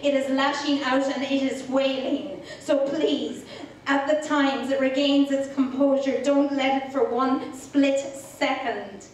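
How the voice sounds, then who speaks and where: A woman with an Irish accent performing spoken-word poetry in a deliberate, rhetorical storytelling delivery. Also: high-pitched, fairly even intonation. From Ireland. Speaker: slam poet. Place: indoor poetry slam event. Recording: very echoey room, distant mic, faint noise.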